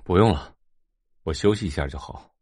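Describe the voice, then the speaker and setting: A man's voice: low voice, expressive, moderate pace, a little mellow, no room sound, clean studio sound. A man playing a weary, terse character in a family audio drama, with understated delivery. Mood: tired, flat. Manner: understated, brief replies.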